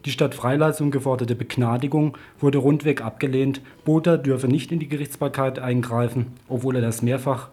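A man's voice: medium pitch, steady tone, moderate pace, hardly any pauses, warm tone, breathy edge. A man reading a scripted political news report on the radio.

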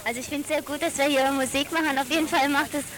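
A woman's voice: sits high, steady tone, quick pace. A young woman answering interview questions earnestly at an outdoor protest. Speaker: flute player in a protest band.

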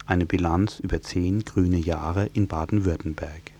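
A man's voice: low-pitched, steady tone, unhurried, mellow, a little hiss or hum. A man presenting radio news, reading a scripted report.

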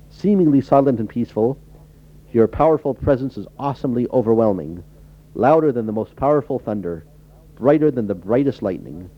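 A man, a composer, reciting his own poem in a solemn, reverent, declamatory manner. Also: mid-range voice. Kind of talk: solemn poetry reading. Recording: dull, slightly muffled radio recording.

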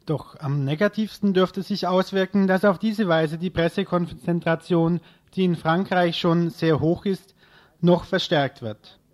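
A man's voice: high-pitched, steady tone, unhurried, a little mellow. A man working as a radio reporter, delivering a scripted news report.

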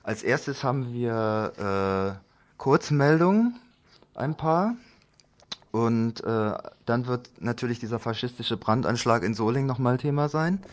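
A man hosting a radio news magazine, introducing it in a halting, loosely phrased, unscripted way. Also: mid-range voice, animated.

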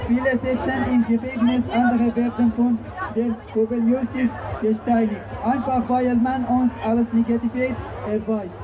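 Man, extremely high register, halting rally reader, little pitch movement, audible crowd behind. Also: little pitch movement, poor audio. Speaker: rally speaker reading out a group chat. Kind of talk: halting read-out of prepared text. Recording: crowd noise at outdoor public event.

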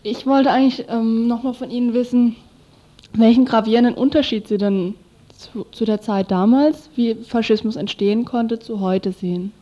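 Radio interviewer: woman, somewhat high pitch, dark and relaxed timbre, asking questions. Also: moderate pace, dull audio.

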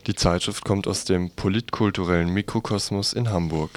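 Deep-voiced male radio presenter. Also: steady tone, moderate pace, no room sound.

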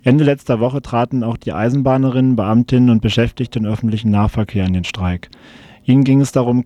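A man's voice: medium pitch, warm tone, dry recording. A man reading a scripted news report on the radio.

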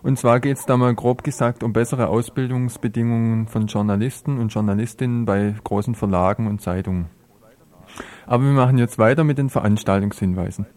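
A man's voice: medium pitch, fairly even intonation, fairly quick, a little mellow. A man hosting a radio news show, presenting live and informally.

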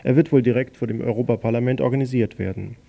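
A man presenting news on a small radio program, reading in a measured, matter-of-fact way. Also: medium pitch, steady tone, gravelly, warm tone. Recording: dull lo-fi radio recording.

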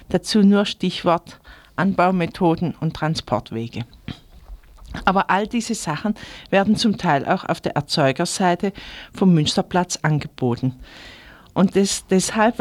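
A woman's voice: fairly low pitch, no room sound. A woman giving a chatty, scripted report as a radio market reporter.

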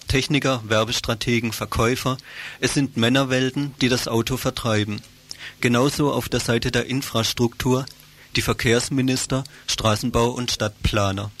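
A man's voice of medium pitch reads out a scripted political commentary. He speaks formally, in measured written sentences, as a radio commentator would. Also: a bit bright.

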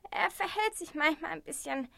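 A woman playing a kind, naive, lonely old grandmother in a German radio play, speaking gently and full of endearments. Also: sits high, moderate pace, gravelly, no room sound, clean studio sound, exaggerated voice. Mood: fond.

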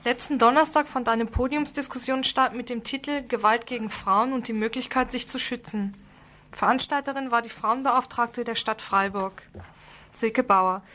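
A woman's voice: a little high, steady tone, moderate pace, bright, forceful tone. A woman reporter reading a scripted news report for radio.

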